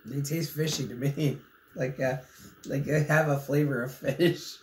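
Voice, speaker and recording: A man's voice: fairly high pitch, fairly even intonation, measured pace, a little mellow. A young man hosting a casual snack taste-test, getting over a cold, easygoing and laughing often, recorded at a table in a small room. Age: young adult. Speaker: channel host. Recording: echoey room, faint noise.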